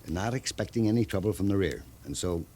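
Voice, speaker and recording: A mellow, folksy frontier scout. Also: male voice, low voice, lively intonation, fast talker. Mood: shrewd, measured. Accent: American, rural western. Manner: folksy, matter-of-fact. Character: plainspoken male frontier scout, shrewd and unhurried. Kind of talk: 1950s western radio drama acting. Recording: faintly noisy 1950s radio recording.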